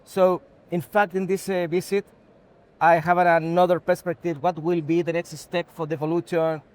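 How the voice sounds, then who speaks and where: A man from Chile with a Chilean Spanish accent, giving unscripted interview answers as a technology executive in halting, non-native English. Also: a little high, little pitch movement.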